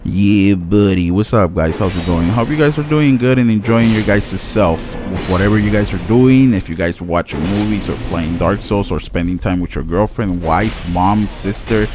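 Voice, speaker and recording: A man's voice: fairly low pitch, moderate pace, hardly any pauses, music in the background. A young man with a Spanish accent, a native Spanish speaker, giving casual gameplay commentary and stumbling over his words as he rambles. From Latin America. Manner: rambling, stutters and restarts often. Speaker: gaming YouTuber. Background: faint household noise, dog barking.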